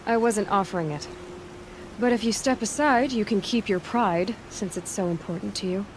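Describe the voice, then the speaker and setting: A woman's voice: medium pitch, normal speed, natural voice. A woman playing a blunt, defiant young heroine in a video game. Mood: firm, challenging. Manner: blunt, challenging. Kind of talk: video game dramatic dialogue. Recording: clearly noisy game ambience.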